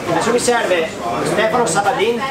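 A man giving an informal, halting speech as organizer at an award ceremony. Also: high-pitched. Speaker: competition organizer and presenter. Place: covered event space, small stage with banners. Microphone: camera several metres away. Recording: echoey, distant camera mic, loud crowd.

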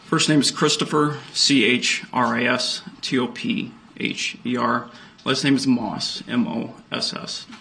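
An American man in his thirties giving precise, measured, formal testimony as a police officer. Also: medium pitch, steady tone, gravelly, pushed and bright. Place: indoor courtroom witness stand. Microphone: stand microphone before him. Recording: courtroom microphone with faint noise.

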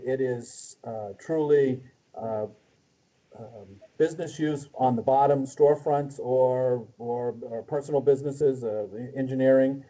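A man in his fifties speaking haltingly as a city official explaining things in a meeting, often trailing off. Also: mid-range voice, mellow. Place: small office with hard ceiling, indoors. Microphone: video call. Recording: dull lo-fi video call audio.

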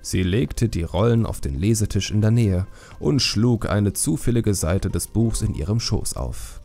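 A man narrating a fantasy audiobook in a measured, expressive storytelling manner. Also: on the low side, soft background music.

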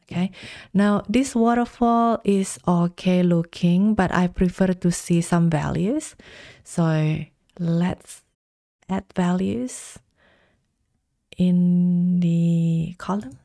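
A woman talks through a software tutorial in calm, step-by-step instructions. Her voice is mellow and low, unhurried, recorded dry and close. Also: no echo. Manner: calm step-by-step instructions, slow deliberate pacing.

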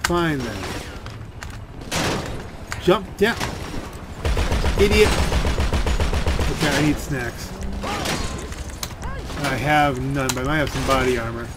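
A man's voice: mid-range voice, slow, pauses often. A man giving casual, rambling gameplay commentary as he thinks aloud while playing. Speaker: gaming video host. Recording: loud game gunfire and vehicle noise. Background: video game gunfire and vehicle sounds.

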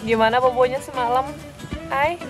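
A woman's voice: sits high, steady tone, moderate pace, over music. A woman filming her family on a camping trip, chatting casually and laughing often into a handheld phone. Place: tent and campsite outdoors.

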